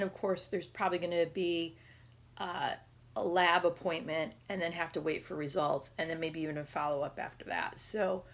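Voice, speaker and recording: A woman around forty with a raspy voice, talking casually and haltingly in a personal health vlog. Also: on the low side. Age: 30s or 40s. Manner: halting, rambling, conversational. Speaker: patient video diarist.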